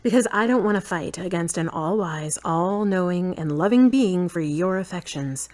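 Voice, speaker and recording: A woman's voice: on the low side, normal speed, darkish tone, natural voice. A woman playing a tired, frank girlfriend who is fond but uneasy, in earnest, questioning audio drama dialogue.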